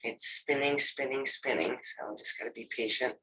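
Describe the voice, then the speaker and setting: Male instructor with a cold, nasal and husky, loose and filler-heavy delivery, computer mic. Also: medium pitch, normal speed, poor audio. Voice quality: nasal, congested. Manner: relaxed, filler-heavy, says "you know" often. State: has a cold. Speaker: lab instructor. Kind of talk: casual recorded lab walkthrough over a video call.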